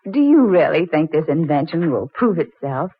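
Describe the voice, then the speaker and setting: A woman acting in an old-time radio drama as a polite librarian who is coy and gently teasing. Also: deep voice, big pitch swings, unhurried, a little hiss or hum. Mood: warm, amused. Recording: tinny, dry old-time radio recording.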